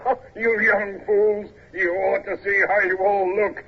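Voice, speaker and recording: A scornful navy officer jeers in a high, swooping voice, dragging out his words slowly. The old broadcast sounds dull and carries a faint hiss. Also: little pitch movement, gravelly, breathy edge, exaggerated voice. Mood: mocking. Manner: drawn-out, scornful and giggly. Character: mocking male officer, laughing at young fools. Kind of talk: 1940s-50s radio acting. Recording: tinny old radio recording with faint noise.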